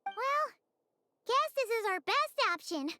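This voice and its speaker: A woman voicing a tiny floating female companion sprite, excitable and blunt, in squeaky, exclaiming video game dialogue. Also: slow pace, slight background noise, exaggerated voice. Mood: eager. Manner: squeaky, exclaiming, speaks of herself by name.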